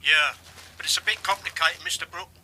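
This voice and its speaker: High-voiced informant on a phone. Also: male voice, brisk. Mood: guarded. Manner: guarded, furtive. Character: wary male informant on the telephone. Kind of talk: British radio drama acting. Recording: noisy telephone-style line.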